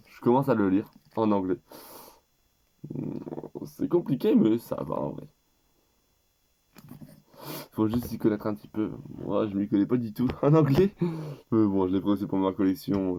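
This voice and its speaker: A teenage boy with a low, soft-edged voice, audibly stuffed up with a cold. He talks in a rambling, hesitant way, often stopping and starting over mid-sentence. The delivery is loose and casual. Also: expressive, normal speed, long pauses, a little hiss or hum. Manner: rambling and hesitant, with many restarts. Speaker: YouTuber. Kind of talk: casual gift-list vlog.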